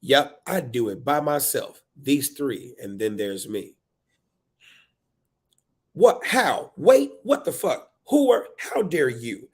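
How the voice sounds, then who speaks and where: A man's voice: medium pitch, unhurried, few pauses. A man voicing an exasperated, overwhelmed single mother of three in a dramatic, emphatic spoken-word book reading.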